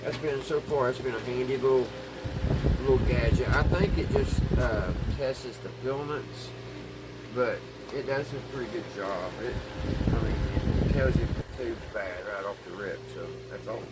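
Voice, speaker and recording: Folksy man talking over loud background noise. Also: mid-range voice, moderate pace. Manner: folksy, addresses viewers as guys, thinking aloud. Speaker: host of a radio repair channel. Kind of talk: casual hands-on narration while working. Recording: loud steady background hum and room noise.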